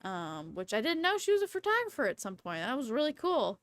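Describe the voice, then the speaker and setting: A woman in her twenties chatting casually on a livestream in a rambling, playful, excitable and slangy way. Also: a little high, brisk, no room sound. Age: 20s. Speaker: livestreamer, VTuber host.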